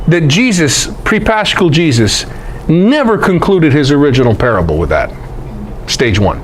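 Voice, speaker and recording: A man's voice: high-pitched, a little hiss or hum. A man giving an informal, irreverent scripture lecture in an emphatic way, often putting rhetorical questions to his listeners. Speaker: Bible teacher, presenter.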